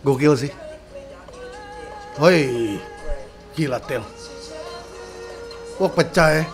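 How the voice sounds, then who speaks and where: A man in his thirties giving casual, excitable reaction commentary as a sound engineer, full of slang exclamations, at home in a small room. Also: on the high side, extremely slow. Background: faint music playing.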